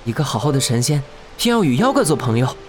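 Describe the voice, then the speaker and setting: A man's voice: medium pitch, very animated, fast, close to an everyday voice. An actor playing a devoted young male fox spirit in a costume fantasy drama, speaking earnestly and tenderly. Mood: earnest devotion. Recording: music under the dialogue.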